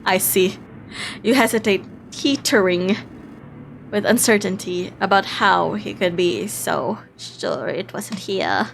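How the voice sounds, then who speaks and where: A woman's voice: mid-range voice, lively intonation, takes it slowly, vocal fry, a little hiss or hum. A woman live-streaming a visual novel, reading it aloud expressively and playfully, breaking off into exclamations.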